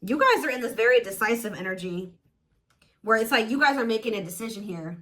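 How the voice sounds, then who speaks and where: A woman's voice: on the high side, animated, brisk, fairly bright tone. A woman giving a casual, intuitive tarot reading to viewers, talking in an emphatic, conversational way and repeating herself for emphasis. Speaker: tarot reader, host.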